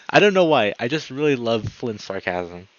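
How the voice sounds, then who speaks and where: A man's voice: medium pitch, wildly swooping pitch, measured pace, no room sound. A man giving chatty, exclamatory commentary as he plays through a visual novel. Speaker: streamer playing a visual novel. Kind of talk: gameplay commentary and reading dialogue aloud. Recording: dull, lo-fi mic with music behind. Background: guitar game music.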